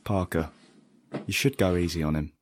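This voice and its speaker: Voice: low-pitched, normal speed, a little hiss or hum, close to an everyday voice. An actor playing a blunt but protective rebel ally in an audio drama, speaking earnestly. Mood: matter-of-fact.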